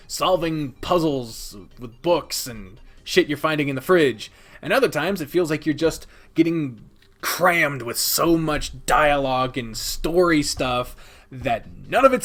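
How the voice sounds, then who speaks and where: A man's voice: on the high side, fairly bright tone. A man giving a casual, opinionated countdown review as a video host, partly scripted, with a blunt, sweary manner. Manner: sweary, blunt, chuckling asides.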